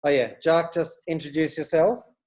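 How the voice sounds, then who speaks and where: Mellow man moderating a call. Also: fairly high pitch, steady tone, moderate pace. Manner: easygoing, guiding introductions. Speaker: meetup organizer. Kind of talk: casual video call moderating. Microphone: video call.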